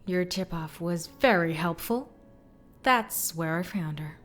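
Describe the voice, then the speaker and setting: A woman voices a cool, composed ghost-hunter who speaks quietly, slowly and with measured calm. Her near-whispered lines carry a cold, unhurried menace in this fantasy audio drama. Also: lowish voice.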